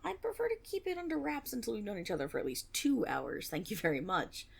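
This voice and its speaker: A woman's voice: on the high side, huge pitch swings, fast, exaggerated voice. A woman playing an eager, nervous young female tiefling rogue in an intimate fantasy audio roleplay, rambling and stammering with a teasing streak. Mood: playful, teasing. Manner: nervous rambling with stammers, sighs and breathy giggles.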